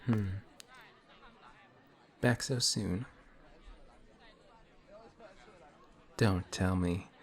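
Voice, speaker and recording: A man voicing a suave male villain who teases a hero in an audio roleplay, slow and taunting. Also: on the low side, darkish tone, natural voice. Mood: smug. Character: smug suave male villain, teasing and calm. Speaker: voice actor.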